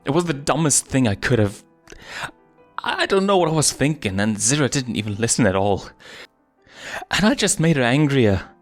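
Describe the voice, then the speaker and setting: A man's voice: medium pitch, very animated, moderate pace, dry recording, faint music behind, pitched above the performer's own voice, lightly acted. Man voicing a brooding, frustrated young lion. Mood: upset, self-blaming. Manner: agitated, brooding.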